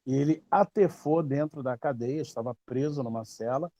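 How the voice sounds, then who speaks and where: A man's voice: medium pitch, normal speed, mellow. A Brazilian man in his fifties, a babalawo explaining his tradition in casual talk, emphatic and repeating phrases for stress. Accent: Brazilian Portuguese. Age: 50s. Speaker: babalawo teacher.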